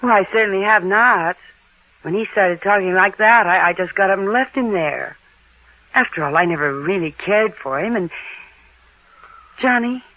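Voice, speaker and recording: Actress as a flustered, evasive wife, tinny radio sound. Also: female voice, medium pitch, moderate pace, pauses often, no echo, natural voice. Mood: reluctant, flustered. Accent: American. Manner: hesitant, repeating and stammering. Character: evasive, flustered young married American woman. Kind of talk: old-time radio drama acting. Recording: narrow, telephone-like old radio recording.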